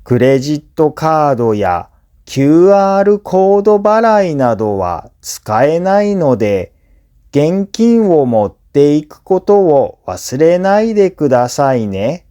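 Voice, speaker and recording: A man who is a native Japanese speaker, reading scripted listening practice for learners in a clear, simple explanatory way. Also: fairly high pitch, sing-song, slow, mellow. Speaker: language teacher and narrator.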